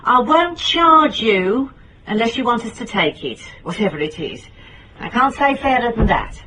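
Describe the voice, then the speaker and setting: An actress playing a middle-aged British private detective, blunt and dryly wry, in radio drama dialogue and noir narration. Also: female voice, on the high side, very animated, measured pace, background noise. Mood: brisk, reassuring.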